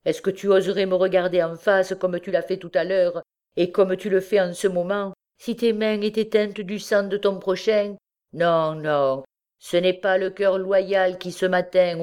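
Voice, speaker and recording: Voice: medium pitch, darkish tone, smooth, close to an everyday voice. A narrator voicing a distraught mother who pleads vehemently for her accused son in a dramatic storybook reading. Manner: vehement, imploring, exclamatory.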